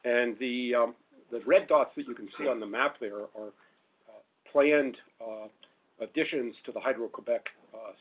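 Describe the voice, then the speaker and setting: A middle-aged man giving a panel talk as a professor, loud-voiced and hesitant, full of fillers. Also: medium pitch, husky. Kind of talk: public talk with slides. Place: meeting room. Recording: phone-like narrow audio.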